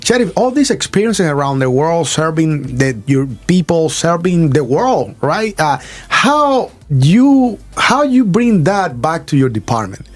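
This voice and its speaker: A man with a somewhat high voice hosts a radio morning show from a studio microphone. He talks chattily and rambles, restarting his sentences with stammers as he addresses his guest and his listeners. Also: sing-song, a little slow, few pauses. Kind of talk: bilingual radio interview hosting.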